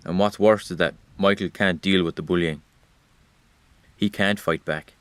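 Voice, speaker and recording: A man as deep, wry, world-weary Rain. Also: steady tone, moderate pace, clear, resonant tone, no echo, lightly acted. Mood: wry, sombre. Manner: direct address to the listener, wry and reproachful. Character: Rain itself, a weary, judging male observer of humanity. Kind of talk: scripted audio drama monologue.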